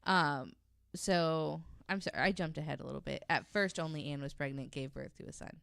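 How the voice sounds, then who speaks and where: A woman with a notably low, clean and smooth voice, recorded close and dry. She tells a story in a casual, chatty way, with informal phrasing and joking asides, as a guest on a panel. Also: brisk, a bit bright, no room sound.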